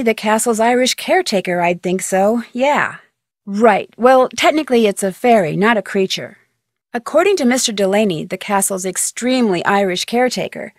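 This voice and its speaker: An actress voices a friendly, inquisitive young American woman detective in an adventure game. Her voice sits a little high and is recorded dry, with no room around it. She delivers scripted dialogue in an earnest, conversational way with clear diction. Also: no echo, natural voice. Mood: reassuring, explaining. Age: young adult. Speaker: player character of a detective game.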